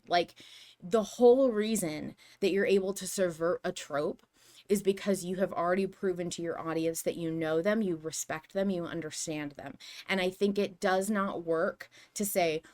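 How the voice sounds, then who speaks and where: A woman hosting a podcast, talking through fan analysis casually in an earnest, emphatic, argumentative manner. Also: on the low side. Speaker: podcast host.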